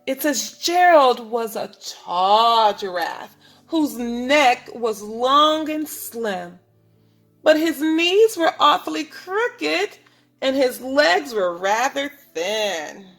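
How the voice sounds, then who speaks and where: A woman teaching preschoolers, reading a picture book aloud in an instructive way, speaking clearly to children and asking them questions. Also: high-pitched, very animated, very slow, fairly bright tone. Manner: instructive, asks the listeners questions, enunciates for children. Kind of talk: read-aloud lesson for young children.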